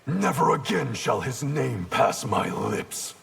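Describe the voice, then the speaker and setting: A proud renegade ninja master in a fighting game. His voice is heavily gravelled and slow, with swinging intonation. He sounds cold, bitter and defiant. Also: mid-range voice, big pitch swings, very noisy, full character voice. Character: bitter, proud male rogue ninja clan leader. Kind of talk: video game dramatic dialogue.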